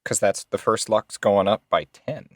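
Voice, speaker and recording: A man's voice: on the low side, moderate pace, no room sound, clean studio sound. A man chatting casually as a player in a tabletop role-playing game, reading out his results with some swearing. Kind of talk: casual chat while rolling dice.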